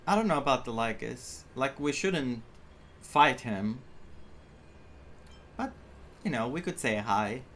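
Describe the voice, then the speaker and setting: Slow-paced, chatty male gamer. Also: medium pitch, expressive, fairly bright tone. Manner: chatty, thinking aloud. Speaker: gaming content creator. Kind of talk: casual playthrough commentary. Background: game music.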